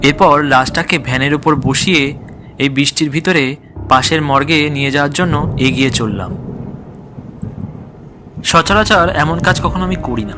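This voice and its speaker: A man's voice: a little high, moderate pace, pauses often, over music. A man narrating a scripted horror story in the first person, in a dramatic storytelling style.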